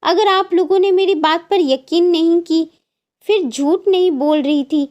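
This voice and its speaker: An anxious young bride from a cartoon, voiced by a woman. Her voice is extremely high and girlish in pitch. She comes across as haunted and insistent. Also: unhurried, darkish tone, highs cut off. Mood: frightened, insistent. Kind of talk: Hindi cartoon story acting.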